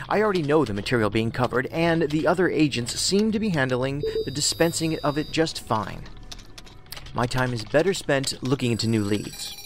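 A man playing a sardonic federal agent in an audio drama, with a deadpan, clipped delivery. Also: medium pitch, moderate pace, slight background noise, close to an everyday voice. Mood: wry.

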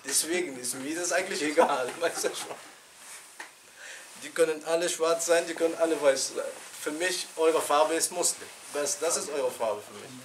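A middle-aged man teaching an informal religious lesson in a small room, animated and chatty, laughing with his students and restarting his sentences. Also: fairly high pitch, noisy background. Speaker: sheikh teaching a Quran class. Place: small indoor classroom.

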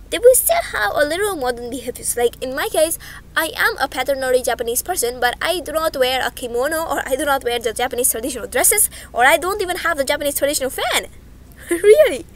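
High-voiced chatty woman teacher. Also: a bit bright. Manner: chatty, frequent "okay?" check-ins, self-corrections. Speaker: online language teacher. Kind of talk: casual explanatory lesson over slides. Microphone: voice-over on slideshow.

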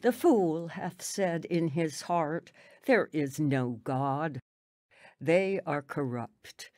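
A woman's voice: low-pitched, drawn-out words, warm tone, soft background music. A woman playing a pious, plainspoken old country grandmother in a radio drama, solemn and folksy in manner.

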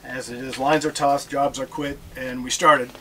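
A middle-aged man giving a relaxed, joking talk about sailing to an audience, standing aboard a catamaran in a marina with notes in hand. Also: mid-range voice, moderate pace, background noise. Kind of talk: casual presentation to an audience. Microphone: far camera.

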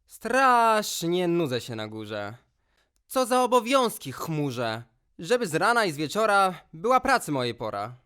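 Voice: medium pitch, very animated, unhurried, pushed and bright, clear, resonant tone. A performer voicing a mischievous, bored, grumbly boy storm spirit in a rhymed musical fairy tale for children. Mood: bored, restless.

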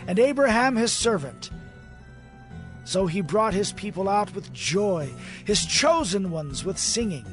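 A man narrating a dramatized Bible reading in an expressive, measured way. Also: high-pitched. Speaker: scripture narrator. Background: soft music.

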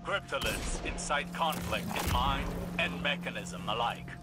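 A man's voice: mid-range voice, animated, a little slow, close to an everyday voice. A man voicing a stern, warlike alien warrior in a video game, with formal, solemn, commanding phrasing. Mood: grave, ominous. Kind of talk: dramatic video game voice acting. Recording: loud music behind.

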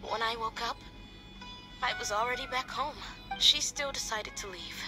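A woman's voice: a little high, normal speed, natural voice. A woman voicing an earnest, wistful young woman in a video game. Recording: music behind the voice.

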